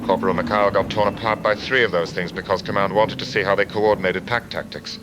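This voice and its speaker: A man playing a disillusioned middle-aged mercenary soldier giving grim, measured testimony in an audio drama. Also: on the low side, fairly even intonation, background noise, lightly acted. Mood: grim, bitter. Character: weary disillusioned male mercenary soldier, bitter and defiant. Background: background music.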